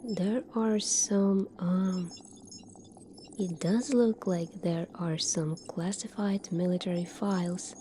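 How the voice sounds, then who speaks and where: A woman plays a calm, secretive doctor of the future in an ASMR roleplay. Her voice is mellow and a little low, often hushed. She speaks very slowly and deliberately, over faint background noise.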